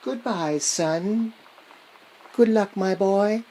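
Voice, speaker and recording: A man plays an anxious mother in an old-style radio drama. The voice is lifted high and fusses with tender worry over a grown child. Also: drawn-out words, dark tone, a little hiss or hum, poor audio. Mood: worried, tearful. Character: anxious, fussing elderly mother seeing off her son.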